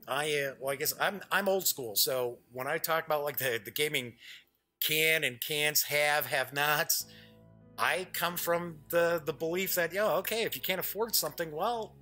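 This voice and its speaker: A man's voice: a little high, sharp tone. A middle-aged man hosting a tabletop gaming web show, chatting casually to viewers in a rambling, self-correcting way.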